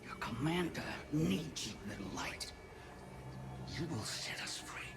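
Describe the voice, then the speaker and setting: An actor voicing imprisoned alien dissenters of a hive mind, ominous and seductive, speaking solemnly and cryptically in a game. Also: male voice, fairly high pitch, lively intonation, vocal fry, background noise. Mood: ominous, beckoning. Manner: slow, whispered, solemn and cryptic.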